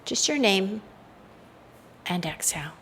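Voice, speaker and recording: A woman leading a guided meditation in a soothing, hypnotic style, with soft trailing phrase endings. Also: medium pitch, lively intonation, takes it slowly, slight background noise.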